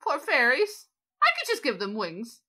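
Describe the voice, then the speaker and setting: A woman playing a composed female anime angel mentor, gently teasing and chatty in improvised parody game talk. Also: a little high, very animated, normal speed, heavily acted. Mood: sympathetic. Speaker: guest co-player on a gaming channel.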